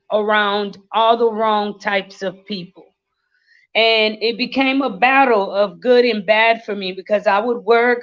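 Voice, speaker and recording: A woman in her thirties, born in Haiti, slowly and earnestly telling her life story as a guest, with many fillers. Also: medium pitch, a bit bright. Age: 30s. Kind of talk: livestream interview, life story. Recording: lo-fi livestream audio.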